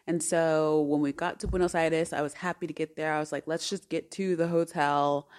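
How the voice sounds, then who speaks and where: A woman's voice: low-pitched, steady tone, moderate pace, darkish tone, clean tone. A woman in her thirties, a podcast host, telling a personal story in an earnest, chatty way. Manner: chatty and earnest, with many fillers and restarts.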